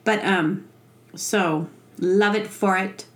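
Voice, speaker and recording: A woman's voice: medium pitch, fairly even intonation, slow pace. A woman hosting a podcast alone in a rambling, playful, informal chat style. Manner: playful, informal, frequent "like" and "you know". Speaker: podcast host. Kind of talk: rambling casual solo chat.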